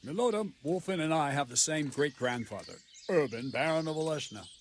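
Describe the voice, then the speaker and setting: An actor as a blunt seasoned guard captain. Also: male voice, a little high, animated, slight background noise, close to an everyday voice. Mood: wry, grave. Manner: blunt, measured, storytelling. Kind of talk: video game voice acting.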